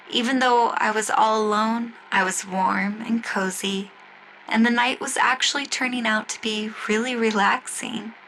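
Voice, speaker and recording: A woman narrating a scripted first-person story in a gentle, storybook manner, styled after old-time 1940s radio drama. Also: fairly high pitch, vocal fry, a little hiss or hum, rough recording. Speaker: storyteller and singer.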